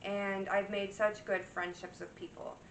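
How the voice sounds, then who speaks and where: A woman's voice: mid-range voice, flat delivery, a little slow. Woman, chatty, rambling, slightly worn voice, mic set back, faint background hiss. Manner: rambling, chatty, full of fillers and restarts. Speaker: cross-stitch vlogger. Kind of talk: casual show-and-tell chat. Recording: echoey room, mic at a distance.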